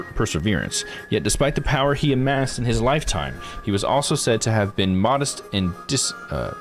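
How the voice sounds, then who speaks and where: A tired man streaming a game live, reading its text aloud with casual asides and correcting himself on tricky names. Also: lowish voice. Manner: stumbles over foreign names and self-corrects.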